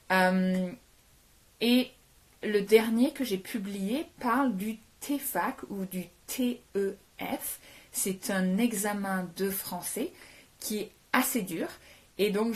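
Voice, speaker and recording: A chatty woman from France hosts a casual livestream lesson, greeting viewers by name and answering their comments as they come in. Her voice sits at an ordinary middle pitch for a woman, and she now and then slips English words into her French. The sound comes through a phone mic. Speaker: French teacher. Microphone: phone held for a live stream.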